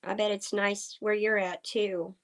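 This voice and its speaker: A woman from Oklahoma hosting a casual livestream chat with viewers. Her voice is slightly hoarse. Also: medium pitch, little pitch movement. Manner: slow, chatty, talking to viewers. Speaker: livestream host. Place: farm home indoors. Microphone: computer streaming setup.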